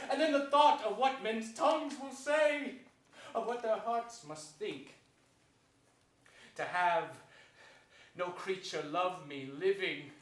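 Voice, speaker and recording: Scheming hunchbacked usurper, high voice, grand stage declamation, distant hissy recording. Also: male voice, fairly bright tone. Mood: brooding. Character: scheming, gloating, villainous hunchbacked royal usurper. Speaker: stage actor. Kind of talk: theatrical Shakespearean stage declamation. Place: theater stage before an audience. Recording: distant mic in echoey theater, hiss.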